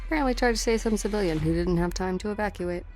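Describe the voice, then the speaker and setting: A woman's voice: mid-range voice, fast, darkish tone, soft background music, lightly acted, low-quality recording. A woman voicing a cold, taunting assassin in a dramatic game dub, with a cool, menacing delivery. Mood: cold, matter-of-fact. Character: cold, taunting female enemy assassin hunting her prey.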